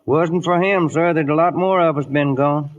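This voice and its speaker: Actor as a humble, halting cavalry trooper, muffled. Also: male voice, fairly high pitch, fairly even intonation, close to an everyday voice. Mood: grieving, hesitant. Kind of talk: 1950s radio drama acting. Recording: muffled 1950s radio broadcast.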